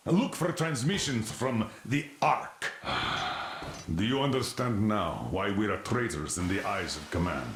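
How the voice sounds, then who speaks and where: An actor playing a stern middle-aged colonel leading survivors, in a video game cutscene. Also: male voice, lowish voice, sing-song, takes it slowly, fairly bright tone, roomy sound, a little hiss or hum, full character voice. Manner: stern and commanding, turning elated and hopeful. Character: gruff middle-aged male military colonel, stern leader. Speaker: colonel commanding a train crew.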